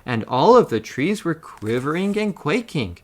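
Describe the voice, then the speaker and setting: A man reading a children's story aloud in an expressive storytelling style. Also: fairly high pitch, a little slow, a little hiss or hum. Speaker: storybook reader.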